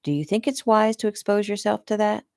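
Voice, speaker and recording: A woman's voice: medium pitch, brisk, a little mellow, dry recording, studio quality, voice pushed up, lightly acted. A woman doing a stern police lieutenant. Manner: firm, scolding. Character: man, police lieutenant, stern but good-humored.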